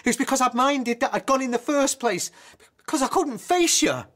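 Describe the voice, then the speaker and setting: An actor playing an ashamed, apologetic runaway husband in a naturalistic radio drama, halting and defensive. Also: male voice, very high voice, animated, fairly bright tone, a little hiss or hum. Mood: pleading.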